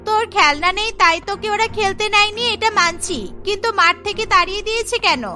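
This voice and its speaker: A woman's voice: extremely high pitch, quick pace, sharp tone, soft background music. A woman voicing a bold, protective young girl, a bossy but caring big sister in a cartoon. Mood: indignant, protective. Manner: feisty, scolding, protective. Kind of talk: cartoon dialogue acting.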